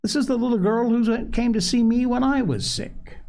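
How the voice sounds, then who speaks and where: A man's voice: very high voice, normal speed, vocal fry, mellow, a little hiss or hum, pitched above the performer's own voice. A man voicing a kindly, encouraging parson who visits sick children in a storybook.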